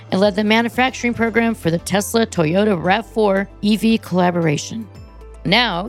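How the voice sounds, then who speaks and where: A woman hosting a podcast, reading a scripted introduction in a measured, announcer-like way and interviewing a guest. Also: mid-range voice, creaky. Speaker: podcast host.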